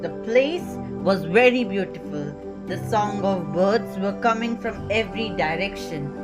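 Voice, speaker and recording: A woman's voice: medium pitch, expressive, measured pace, close to an everyday voice. A woman with an Indian accent presenting a puppet show as a guide, reading scripted educational narration. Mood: earnest, informative. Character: puppet guide named Alak presenting Bihar. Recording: music behind the voice.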